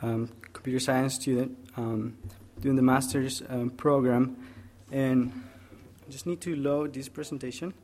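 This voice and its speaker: A man's voice: medium pitch, steady tone, a little slow, a little mellow. A young man from Peru, a graduate student, giving a technical conference presentation with many hesitations, explaining step by step.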